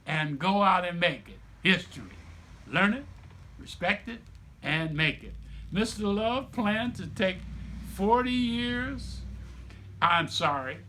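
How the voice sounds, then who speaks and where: A middle-aged man reading a book aloud like a storyteller, with emphatic, deliberate phrasing and explanatory asides to listeners. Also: high-pitched, pushed and bright, slight background noise. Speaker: author reading own children's book aloud.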